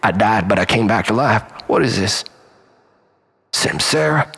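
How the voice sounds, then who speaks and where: A man performing a theatrical first-person monologue as a storyteller, melodramatic, swinging between exclamation and breathy hush. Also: fairly low pitch, lively intonation, measured pace.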